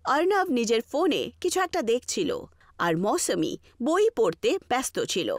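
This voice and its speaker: A woman's voice: on the high side, big pitch swings, unhurried, no echo, clean studio sound. A woman reading scripted narration for a horror story as its storyteller.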